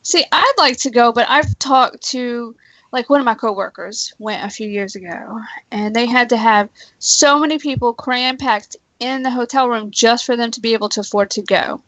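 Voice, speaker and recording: A woman's voice: on the high side, moderate pace, fairly bright tone, dry recording, poor audio. A woman in casual conversation on a talk show, giving her opinions in an informal, emphatic way.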